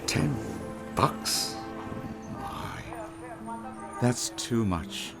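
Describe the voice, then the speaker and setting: An actor playing a poor, one-legged Chinese railway laborer who now plays music on the street, speaking slowly and humbly. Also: male voice, mid-range voice, lively intonation, gravelly, exaggerated voice. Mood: weary. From China. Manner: slow, humble and sorrowful. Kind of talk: audio drama acting.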